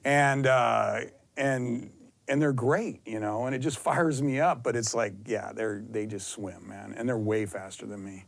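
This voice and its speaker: A man in his fifties telling casual stories as an interview guest, excitable and self-deprecating, often rambling and acting out what was said. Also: medium pitch, measured pace, gravelly. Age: 50s. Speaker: endurance athlete, interview guest. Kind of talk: casual, anecdotal interview storytelling.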